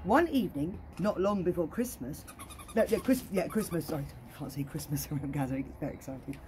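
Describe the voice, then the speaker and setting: A woman, around her forties, reading a children's story in a playful, expressive way, with chatty asides like a children's entertainer. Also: low voice, a little fast, background noise. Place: outdoor garden.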